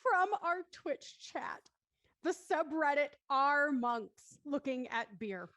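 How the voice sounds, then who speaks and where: American lady, higher voice, explains stuff in casual panel chat, dry close sound. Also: expressive, slow pace, fairly bright tone, no room sound. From United States. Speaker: talk show panelist.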